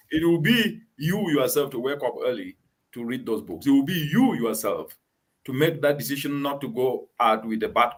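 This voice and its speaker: A man from Cameroon hosting a conversation, making his points with emphatic repetition. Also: a little high. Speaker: host. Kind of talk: conversational interview.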